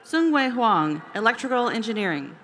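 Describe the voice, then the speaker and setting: Higher-voiced woman reading graduate names. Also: sing-song, fairly bright tone, a little hiss or hum. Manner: careful, deliberate pronunciation of names. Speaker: commencement name reader. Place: large outdoor stadium over a PA system.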